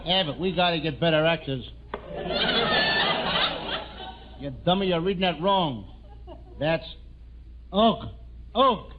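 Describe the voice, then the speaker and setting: A man's voice: on the high side, normal speed, natural voice. A man playing a cheeky, bumbling, wisecracking colonial suitor in a clownish 1940s radio comedy style. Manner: clownish, mock-romantic wisecracking. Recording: tinny, very noisy old radio recording.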